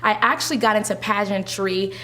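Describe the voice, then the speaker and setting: A woman's voice: medium pitch, fairly quick, pushed and bright. A woman who is a pageant titleholder, chatting in a casual interview with lots of fillers and restarts. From Haitian descent.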